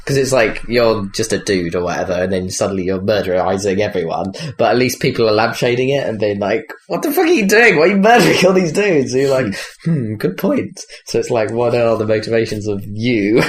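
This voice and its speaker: A man's voice: on the low side, lively intonation, a little fast, hardly any pauses. A man chatting casually about video games as a podcast host, rambling and hesitant with frequent swearing. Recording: clearly noisy background.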